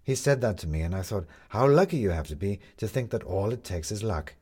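A man's voice: on the low side, animated, fairly quick, darkish tone, breathy edge. A man voicing a bitter, proud, talkative male con artist in an audiobook, with emphatic, rhetorical delivery. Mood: scornful.

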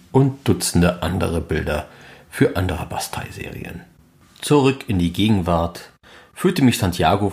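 Low-voiced man narrating a podcast. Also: a little mellow. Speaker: fan podcast host and narrator. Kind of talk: scripted documentary narration.

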